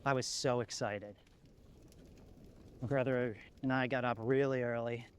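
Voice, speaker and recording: A man's voice: mid-range voice, fairly even intonation, a little slow, a little hiss or hum, lightly acted. A man playing a haunted, reflective lone apocalypse survivor, confiding in a plain-spoken found-footage horror monologue. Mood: reflective, wistful.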